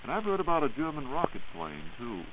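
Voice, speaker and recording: Brisk American man on crackly old radio. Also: on the high side, huge pitch swings, narrow, tinny audio. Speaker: radio interviewer. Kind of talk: 1940s radio interview, posing questions. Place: radio studio. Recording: hissy band-limited 1940s radio recording.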